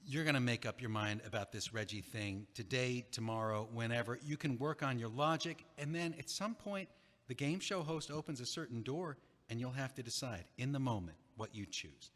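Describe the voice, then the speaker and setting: A man's voice: medium pitch, animated, moderate pace, a bit bright, close to an everyday voice. Guy acting an older buddy, emotional and earnest audio drama, medium voice, lots of reverb. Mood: earnest, caring. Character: older male friend, exasperated but caring. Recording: echoey hall with long reverb.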